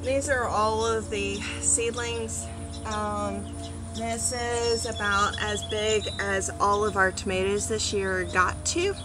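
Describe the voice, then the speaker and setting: An animated woman in her thirties talks casually on a front porch, full of ums and lively asides. Her voice is picked up outdoors by a camera on a small tripod at middle pitch. Clear background music runs underneath. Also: takes it slowly. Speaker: gardening vlogger. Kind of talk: casual vlog. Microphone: phone or camera on a small tripod.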